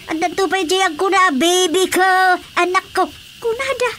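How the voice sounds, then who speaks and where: Gossipy, disapproving mother: high, piercing, exclamatory, Ilocano accent, radio comedy. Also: animated, normal speed, a bit bright, slight background noise. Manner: exclamatory, chatty. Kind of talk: radio drama comedy acting.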